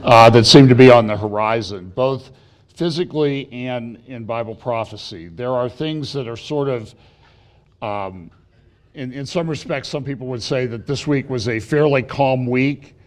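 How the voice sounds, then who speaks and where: A middle-aged man, slightly raspy from feeling unwell, giving a rambling prophecy update at church with many fillers and restarts. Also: mid-range voice, unhurried. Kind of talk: casual prophecy update talk.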